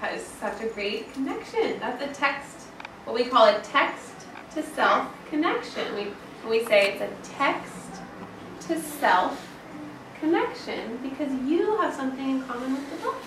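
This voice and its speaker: A woman primary school teacher reading a picture book aloud to a young class with expressive, emphatic storytelling. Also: sits high, unhurried. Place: indoor classroom, children present. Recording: echoey classroom from far off, some noise.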